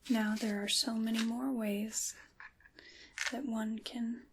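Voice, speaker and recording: A woman playing a sinister, seductive dark witch in an ASMR roleplay, whispering and speaking softly in a slow, theatrical, hushed manner close to the microphone. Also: a little high, level tone, background noise. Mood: hushed, savoring. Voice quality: breathy, whispery. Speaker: ASMR performer.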